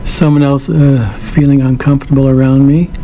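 Middle-aged male host with a stutter, a gravelly and mellow voice, speaking reflectively. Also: mid-range voice, level tone, normal speed, a little hiss or hum, low-quality recording. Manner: stutters, with repetitions and blocks. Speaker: host of a weekly program. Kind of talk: reflective monologue.

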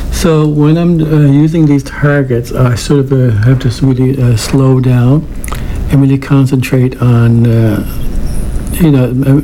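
A man with a mellow, dark voice, talking through a stutter with repeated sounds and small blocks. A faint hum of noise sits under the recording. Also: mid-range voice, rough recording. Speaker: host. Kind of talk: casual talk and poetry reading.

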